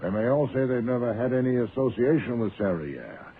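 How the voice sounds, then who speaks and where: An actor playing an imperious, exacting detective boss, speaking in formal, precise, commanding sentences in a radio drama. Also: male voice, mid-range voice, animated, a little fast, close to an everyday voice. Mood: deliberate, instructing. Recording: tinny, band-limited old radio, faint noise.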